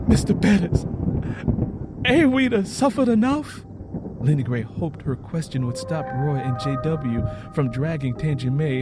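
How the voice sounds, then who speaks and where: A man narrating a scripted story in a dramatic style. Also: medium pitch. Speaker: author and narrator. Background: music.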